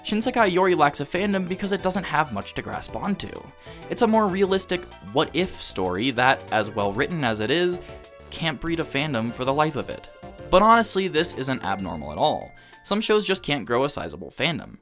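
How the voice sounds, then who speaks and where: A man's voice: a little high, very animated, moderate pace, a bit bright, faint music behind. A man delivering scripted video essay narration as the host of an anime review.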